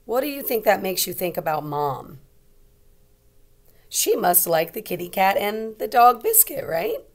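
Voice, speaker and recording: A woman's voice: medium pitch, very animated, a little slow. A woman teacher leading a read-aloud lesson for young children, explaining simply and asking them questions.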